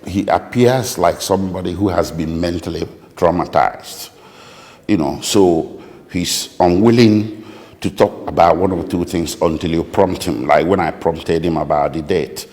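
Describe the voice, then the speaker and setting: A man with a Nigerian accent answering interview questions as a lawyer, speaking deliberately and haltingly with precise detail. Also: deep voice, a little mellow. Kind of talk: interview answers recounting a client's account. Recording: echoey room with long reverb.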